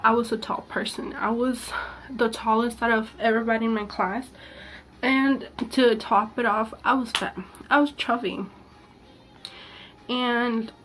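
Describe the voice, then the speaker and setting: A young woman vlogging about her personal past, earnest and halting, with a slightly sick-sounding voice. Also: a little high, a little hiss or hum. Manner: hesitant, halting, many fillers and restarts; candid and earnest. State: sick, voice a little off. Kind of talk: personal confessional vlog.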